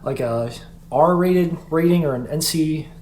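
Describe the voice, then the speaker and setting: A man's voice: a little high, unhurried, roomy sound. Young guy guesting on a podcast, rambly, lots of "like", faint hum in the room. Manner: rambling, animated, heavy use of "like". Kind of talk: casual podcast chat.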